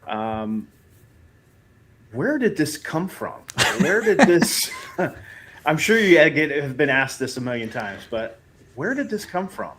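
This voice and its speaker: A man's voice: medium pitch, big pitch swings, slow pace, a bit bright, a little hiss or hum. A man hosting a casual live-stream interview about comics, upbeat and hesitant, with many "um"s, speaking into a new desk mic at home. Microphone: new USB mic, home setup.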